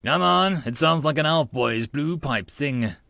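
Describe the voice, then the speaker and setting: A man's voice: fairly high pitch, a little slow, vocal fry, sharp tone, no echo, clean studio sound, exaggerated voice. A man voicing a paranoid young self-styled mad scientist with a pompous, theatrical delivery. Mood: pompous, indignant.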